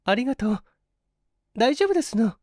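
女性が演じる 生真面目で丁寧な若い女性、高めの声. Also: female voice, a little high, big pitch swings, moderate pace, a little mellow, dry recording, clean studio sound, voice pushed up. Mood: composed. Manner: polite old-fashioned feminine speech, emphatic. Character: hungry, principled, polite young Japanese woman, stubbornly honest. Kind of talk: dramatised audiobook reading.

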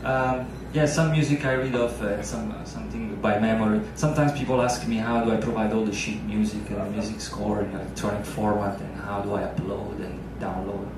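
A man's voice: lowish voice, steady tone, a little fast, few pauses, background noise. A man in his thirties, a native Italian speaker, chatting and joking with the audience between piano pieces. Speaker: pianist entertainer. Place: small ship lounge stage, indoors. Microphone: handheld mic, filmed by far camera. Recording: echoey lounge from far off.

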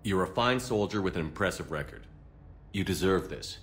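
A man acting as a steady, supportive military commander in a video game, measured and terse. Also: low voice, soft background music.

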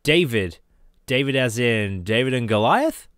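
Curious reporter, played by a man, slow unhurried questions, dry sound. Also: mid-range voice, animated, a bit bright, clear, resonant tone, dry recording. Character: adult male newspaper reporter.

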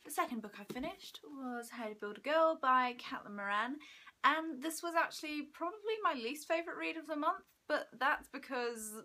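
A woman in her twenties giving an enthusiastic, expressive book review in casual chat to camera at home. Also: high-pitched, normal speed. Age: 20s. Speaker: book vlogger. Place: small room at home by a window.

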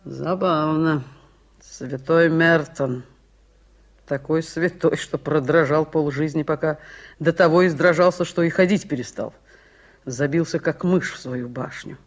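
A sardonic, low-voiced prophetess. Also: female voice, unhurried. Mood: mocking, bitter. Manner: mocking, theatrical. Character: sardonic, embittered ruined heiress turned professional prophetess. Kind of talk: Soviet radio play acting.

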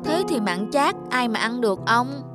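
A woman's voice: on the high side, lively intonation, normal speed, close to an everyday voice. A woman voicing a timid, crafty young male servant in a cartoon, deferential and pleading. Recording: studio voice with loud music.

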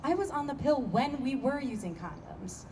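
A woman plays a skeptical, martini-drinking wife in a staged reading. The voice is fairly high and very lively in pitch, with blunt sarcasm. Faint noise sits behind it on an outdoor stage. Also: normal speed. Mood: caustic. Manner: sardonic, blunt.

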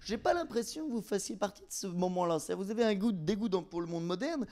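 A man's voice: sits high, lively intonation, fast, darkish tone. A young man asking conversational interview questions, wordy and rephrasing himself as he goes. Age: young adult. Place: indoor room with bookshelves. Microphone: handheld microphone.

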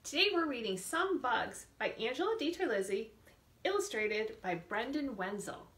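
High-voiced woman reading to kids, echoey room. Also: a little hiss or hum. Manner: expressive, deliberate reading with drawn-out words and questions to children. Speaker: children's librarian hosting storytime. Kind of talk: read-aloud picture book storytime for young children.

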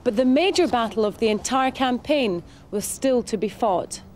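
A woman's voice: fairly high pitch, lively intonation, normal speed, a little mellow, a little hiss or hum. A Scottish woman presenting in the style of scripted educational television narration.

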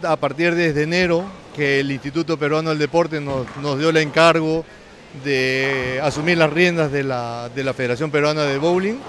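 A man's voice: on the high side, fairly even intonation, normal speed, fairly bright tone. A middle-aged man with a Peruvian accent, a sports official giving an interview into a handheld microphone. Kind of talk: on-camera interview. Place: large indoor bowling alley. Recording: noisy bowling alley background.

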